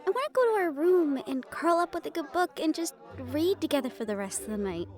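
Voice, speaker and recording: Woman acting high, lilting, affectionate young fiancée. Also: a little fast, music in the background. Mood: wistful, affectionate. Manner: affectionate, expressive, chatty. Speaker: audio roleplay voice performer. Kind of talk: intimate scripted audio roleplay addressed to the listener.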